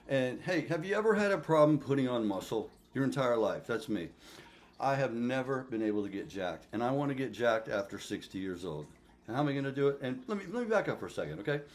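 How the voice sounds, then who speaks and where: A man's voice: medium pitch, sing-song, brisk. A man of sixty vlogging to camera, blunt and profane, often checking in with "okay?", his voice picked up by the camera mic at home. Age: 60s. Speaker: fitness vlogger. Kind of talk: casual vlog to camera.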